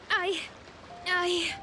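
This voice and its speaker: Very high-voiced, earnest young reporter girl. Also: bright, forceful tone, slight background noise, heavily acted. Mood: hesitant, worried. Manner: hesitant, pleading, emotional. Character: earnest, principled young female junior reporter, flustered and indignant. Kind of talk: video game voice acting.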